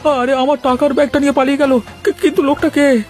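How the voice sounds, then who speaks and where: A man voicing a timid, poor village errand man in a cartoon, creaky and plaintive as he pleads and laments. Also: extremely high pitch, level tone, fast talker, a little mellow, faint music behind. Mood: panicked, woeful. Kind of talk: cartoon voice acting.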